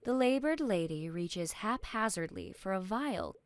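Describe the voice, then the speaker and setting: Lady narrating fantasy audio drama, mid pitch, really varied intonation. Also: expressive, a little slow. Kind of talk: scripted fantasy story narration.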